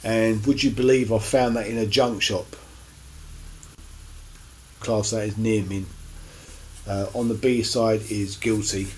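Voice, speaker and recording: A man giving a casual, unscripted show-and-tell to camera as a record collector, in a halting, rambling way with many pauses. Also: on the low side, steady tone, a little hiss or hum, rough recording. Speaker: record collector presenting finds on his channel.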